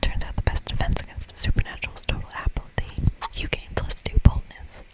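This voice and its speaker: Whispering woman, playful ASMR game reader. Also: fairly quick. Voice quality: breathy whisper. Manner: playful, casual. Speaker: ASMR streamer reading a video game aloud. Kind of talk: whispered ASMR playthrough, reading dialogue and commenting.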